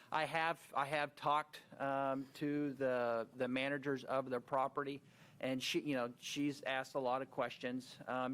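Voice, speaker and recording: A man's voice: mid-range voice, fairly bright tone, clear, resonant tone. An American Midwestern man on county staff explaining a project at a commission meeting, with many fillers and restarts.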